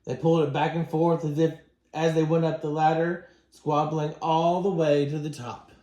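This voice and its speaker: A man with a somewhat high voice reads a children's storybook aloud. He sounds like a teacher, phrasing it dramatically for young listeners. The recording is noticeably roomy and echoing. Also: level tone, normal speed, mellow, muffled recording. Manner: dramatic, acts out dialogue. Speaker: teacher reading to students.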